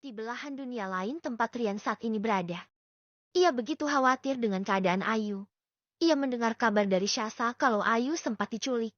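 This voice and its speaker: A woman's voice: on the high side, normal speed. A woman reading a scripted story as an audiobook narrator. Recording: dull lo-fi dry recording.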